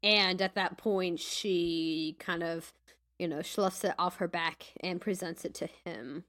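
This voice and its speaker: Slow-speaking woman playing a tabletop game. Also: lowish voice, fairly even intonation, fairly bright tone. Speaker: tabletop game player, podcast host. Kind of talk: describing her character's actions.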